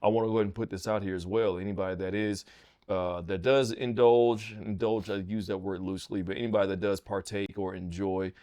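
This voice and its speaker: Young man, low-ish voice, laid-back, slangy, easy laughter, outdoor podcast chat. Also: fairly even intonation, a little fast, mellow, clear, resonant tone. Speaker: podcast host, son. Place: outdoors at a home, Sunday evening.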